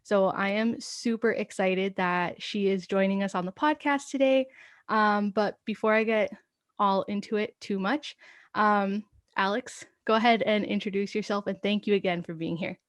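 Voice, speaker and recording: An upbeat woman hosts a casual wellness podcast, gushing with excitement and laughing freely. Her voice sits in an ordinary middle pitch, and the sound is dry and close. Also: moderate pace, dry recording. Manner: bubbly, enthusiastic, laughs often. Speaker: podcast host.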